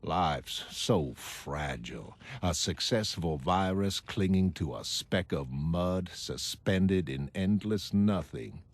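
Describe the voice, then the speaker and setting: A man playing a troubled, weary psychiatrist who narrates his private notes in a motion comic, measured and brooding. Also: deep voice, lively intonation, heavily acted. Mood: somber, weary. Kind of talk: scripted diary narration in an animated motion comic.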